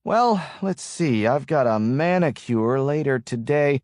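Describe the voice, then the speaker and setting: A man's voice: mid-range voice, animated, measured pace, darkish tone, dry recording, clean studio sound, lightly acted. A man voicing a sarcastic, exasperated thriller hero in audiobook dialogue. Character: sarcastic, exasperated male amateur sleuth hero.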